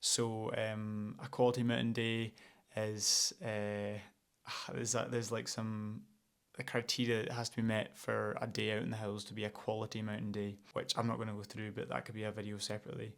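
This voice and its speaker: Young scottish guy vlogging, chill and a bit hesitant, low monotone voice. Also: moderate pace, creaky. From Scotland. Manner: relaxed, many ums and restarts. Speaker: vlogger, outdoor instructor. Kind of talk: casual vlog talk.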